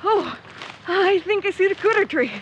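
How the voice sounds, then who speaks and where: A woman's voice: very high voice, lively intonation, moderate pace. A young woman playing a stubborn, grumbling searcher in an audio drama, candid and wry as she thinks aloud. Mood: wistful, amused. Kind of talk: audio drama monologue into a recorder. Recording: muffled, noisy outdoor-style ambience.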